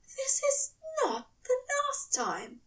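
A woman's voice: very high-pitched, wildly swooping pitch, takes it slowly, fairly bright tone, recorded from a distance, pitched above the performer's own voice, heavily acted. A woman voicing a tender, prim male angel lover in an audio fan fiction, earnest and coyly hesitant in phrasing. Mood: fervent, insistent.

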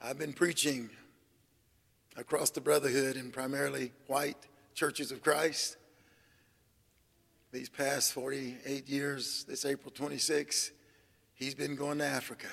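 An older man preaching a sermon, telling humorous stories in a folksy, animated way and acting out the voices of people he quotes. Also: medium pitch, a little slow, vocal fry, pauses often, long reverb tail. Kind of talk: sermon storytelling.

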